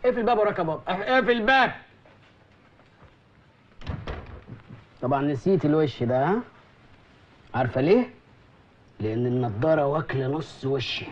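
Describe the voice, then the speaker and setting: An actor with an Egyptian Arabic accent playing a nosy, fast-talking doorman who later turns into a gruff policeman in a comedy film. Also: a little high, lively intonation, lo-fi sound, natural voice. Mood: menacing. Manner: sly, teasing, then menacing and shouting.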